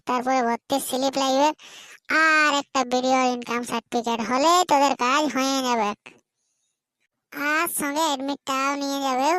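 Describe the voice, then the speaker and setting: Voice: sits high, fairly bright tone, no echo, rough recording. A performer voicing an eager, chatty village student boy in a comedy cartoon, with a rural Purulia Bengali accent and colloquial banter. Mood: explaining.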